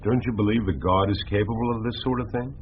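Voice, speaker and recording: An actor playing a smooth, unruffled businessman in a radio drama, speaking formally and measuredly with pointed questions. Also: on the low side, fast talker, close to an everyday voice. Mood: cool, challenging. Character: smooth, unruffled businessman, challenging his accusers. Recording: muffled phone-like band, faint noise.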